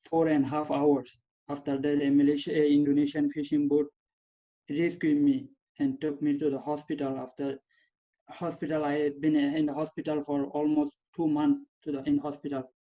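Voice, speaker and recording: A young man, not a native English speaker, telling his own story with halting, repeated phrases. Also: fairly high pitch, warm tone. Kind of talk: personal testimony. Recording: dull lo-fi sound.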